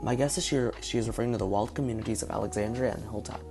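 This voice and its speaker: Hoarse male reviewer, quick delivery, thinking aloud through his guesses, faint music behind. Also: lowish voice. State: hoarse, partly lost voice. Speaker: video reviewer. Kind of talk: casual video commentary.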